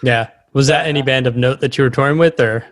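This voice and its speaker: A man's voice: mid-range voice, quick pace, a little hiss or hum. A man hosting a casual podcast interview, listening and putting in brief, attentive reactions. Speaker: podcast host.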